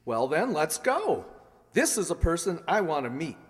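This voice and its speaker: Woman playing a low-voiced, wry radio-drama spouse. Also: big pitch swings, normal speed, loud music behind the voice. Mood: amused. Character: affectionate, teasing, skeptical spouse of an amateur sleuth. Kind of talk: 1940s radio acting. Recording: echoey hall.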